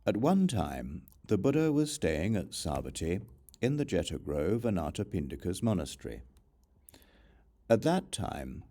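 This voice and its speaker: A man narrating a religious text as an audiobook in a measured, formal, deliberate manner. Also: low voice, big pitch swings, dark tone.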